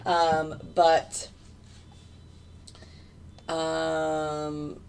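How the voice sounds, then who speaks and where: A woman with a slightly low, raspy voice talks through a live trading stream in loose, rambling commentary, full of fillers and restarts. She is fighting hiccups. A faint hiss of background noise sits under her voice. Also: monotone, extremely slow, a little mellow. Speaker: futures day trader streaming live. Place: home desk in front of a computer.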